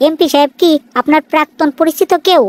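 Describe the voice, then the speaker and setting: A woman's voice: high-pitched, fairly even intonation, quick pace, a little hiss or hum, lightly acted. A woman voicing a respectful, inquisitive cartoon police sub-inspector, chatty and explanatory, with a Bangladeshi accent. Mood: curious. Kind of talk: cartoon dialogue.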